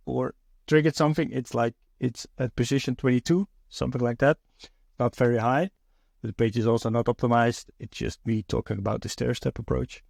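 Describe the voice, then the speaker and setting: A man's voice: mid-range voice, creaky, a little mellow, no echo, clean studio sound. A man from the Netherlands with a Dutch accent, hosting his own podcast in a thoughtful, hesitant way with many fillers and restarts. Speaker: podcast host. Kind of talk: solo podcast monologue.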